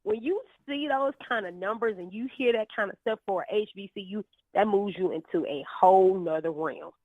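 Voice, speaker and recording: A woman's voice: mid-range voice, moderate pace, no room sound. A woman co-hosting a casual college chat, heard over a thin, phone-like line. The sound is very dry and close. She is enthusiastic and affirming as she moves the show from topic to topic. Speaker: podcast co-host.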